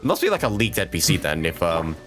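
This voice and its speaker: A man with a low, lively voice chats casually as he livestreams a game, with music playing behind him. His delivery is hesitant and rambling, as if thinking aloud. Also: fairly quick. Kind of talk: casual gaming livestream chat. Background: video game music.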